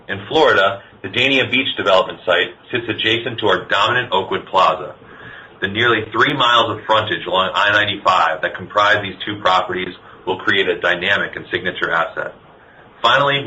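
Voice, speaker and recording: A man's voice: low voice, pushed and bright. A man speaking as a company executive, formally reading scripted remarks for a business earnings call. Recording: dull lo-fi conference call, faint noise.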